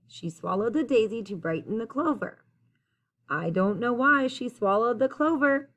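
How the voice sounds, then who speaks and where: A woman teacher reading a picture book aloud to young children in an expressive, sing-song, encouraging way. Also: medium pitch. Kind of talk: children's story read-aloud, addressing young listeners.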